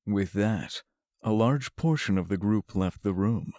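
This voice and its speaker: A man's voice: lowish voice, unhurried, a little mellow, dry recording, clean studio sound. A man giving scripted narration for a fantasy audio drama.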